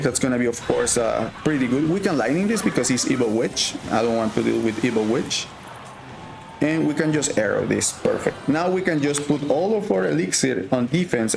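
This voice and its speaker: Gravelly Mexican-accented man commentating gameplay. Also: medium pitch, reverberant. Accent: Mexican Spanish. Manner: casual, thinking aloud, frequent fillers. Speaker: gaming YouTuber.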